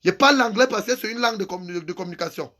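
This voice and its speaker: A man's voice: sits high, very fast, sharp tone. A man giving an angry, impassioned political rant, hammering home repeated phrases. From Cameroon. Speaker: activist vlogger.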